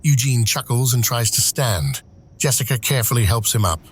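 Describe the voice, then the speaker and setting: A man reading dramatic scripted narration for an audio drama, with measured, deliberate phrasing. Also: mid-range voice, lively intonation, slight background noise. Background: music.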